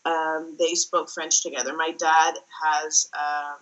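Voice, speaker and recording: Bright-voiced young woman, thoughtful. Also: low voice, little pitch movement, slow pace, low-quality recording. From Netherlands. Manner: thoughtful, many fillers and restarts. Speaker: interview guest, entrepreneur. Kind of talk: casual interview talk.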